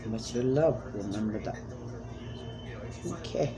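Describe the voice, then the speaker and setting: A woman's voice: a bass voice, animated, takes it slowly, crowd noise. A woman speaking as a self-described spirit medium in a rambling, emphatic monologue, pleading and repeating commands.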